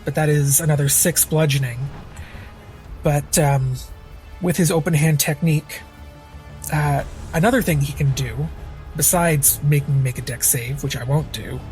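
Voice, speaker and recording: Guy with a higher voice thinking out loud on his ttrpg turn, casual, faint music. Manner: conversational, thinking aloud. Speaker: tabletop game player. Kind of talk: casual narration of character's actions.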